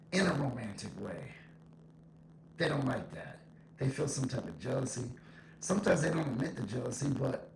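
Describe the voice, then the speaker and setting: Male solo podcaster, heavy vocal fry under an echo effect, blunt and emphatic, informal monologue. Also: mid-range voice. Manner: blunt, emphatic, repeats points. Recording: echo effect, faint background noise.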